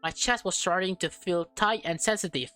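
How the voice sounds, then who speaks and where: A woman's voice: on the low side, normal speed, fairly bright tone, dry recording, soft background music. A woman reading a visual novel aloud as a let's player, stumbling over and repeating words, with slangy, casual reactions.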